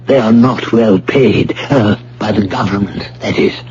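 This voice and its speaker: An actor playing a courteous but ruthless criminal mastermind in a radio drama, speaking formally and deliberately with polite menace. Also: male voice, on the low side, darkish tone, a little hiss or hum, natural voice. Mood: smooth, controlling. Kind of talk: vintage radio drama acting. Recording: muffled, humming old radio broadcast recording.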